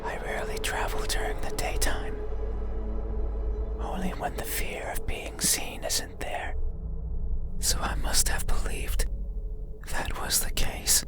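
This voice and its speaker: A lonely monster played by an actor speaks from a horror game in a breathy, intimate whisper. The delivery is very slow and stilted, full of yearning, as if unused to words. Prominent music plays underneath. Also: natural voice. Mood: brooding, reminiscing. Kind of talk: ASMR horror game monologue.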